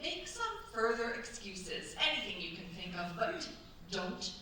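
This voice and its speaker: A woman plays a shrewd older mistress of a French chateau in a staged radio drama. She is commanding yet coaxing, unhurried and bright in tone. The voice rings through a very echoey theatre, some way from the mic. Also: mid-range voice, big pitch swings. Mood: urgent, commanding. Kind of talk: staged radio play acting. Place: theatre stage at a cultural centre. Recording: very echoey stage, distant mic, faint noise.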